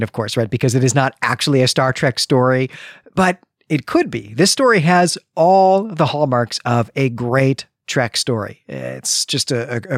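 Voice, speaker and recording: A man's voice: medium pitch, no room sound, clean studio sound. A man co-hosting a podcast, talking about fiction in an enthusiastic, emphatic, conversational way. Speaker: podcast co-host. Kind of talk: conversational literary podcast discussion.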